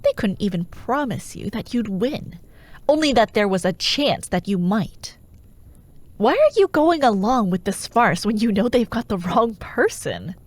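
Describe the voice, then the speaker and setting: A woman playing a menacing, persuasive fantasy warlord who haunts dreams, grandiose and coaxing, in a breathy ASMR roleplay voice that often drops toward a whisper. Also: mid-range voice, big pitch swings, no room sound. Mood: proud, indignant. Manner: slow, grandiose, coaxing, mock-reasonable.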